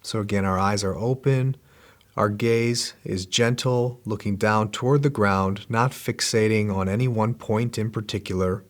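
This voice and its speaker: Gentle low-voiced male meditation guide. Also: fairly even intonation. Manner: slow, gentle, repetitive instructions. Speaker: meditation teacher.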